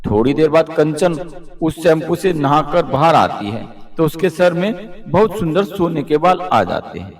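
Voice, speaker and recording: A man's voice: a little high, lively intonation, normal speed, roomy sound. A man narrating a scripted children's story.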